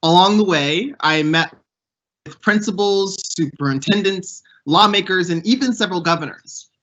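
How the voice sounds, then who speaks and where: Bright-voiced young man on a muffled call. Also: high voice, normal speed. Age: young adult. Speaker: youth program fellow hosting a panel. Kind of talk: prepared speech and interview.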